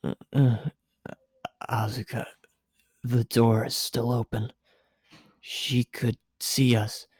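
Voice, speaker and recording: Storybook role: gentle, shy teen boy man performing, soft-spoken, hesitant, devoted, dark mellow tone. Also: medium pitch, flat delivery, takes it slowly, long pauses, slightly rough, no echo. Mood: nervous.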